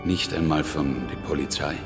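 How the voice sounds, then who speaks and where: Casting: wary, reserved fugitive with an extremely deep voice, terse lines, radio play, reverberant church. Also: male voice, fairly even intonation, moderate pace. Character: reserved man on the run seeking church shelter. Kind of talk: radio drama acting. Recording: echoey church hall, music behind.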